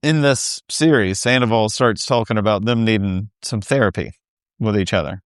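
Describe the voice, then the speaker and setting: A man's voice: on the low side, normal speed, clear, resonant tone, dry recording, clean studio sound. A man in middle adulthood co-hosting a podcast, chatting in a wry, easygoing way. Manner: easygoing, wry, laughs often. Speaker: podcast co-host. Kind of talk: casual recap chat.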